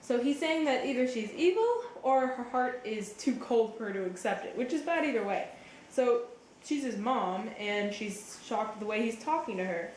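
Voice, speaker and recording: Woman as a patient explainer chatting through shakespeare, highish voice, echoey room with a bit of hiss. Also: normal speed, darkish tone, close to an everyday voice. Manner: explanatory, casual. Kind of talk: conversational literary commentary. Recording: roomy echo, faint background noise.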